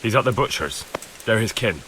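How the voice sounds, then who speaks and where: A man's voice: lowish voice, animated, moderate pace, pushed and bright, a little hiss or hum, poor audio. An actor playing an earnest young man in a video game, questioning people in a direct, probing way. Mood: guarded. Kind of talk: video game cutscene acting.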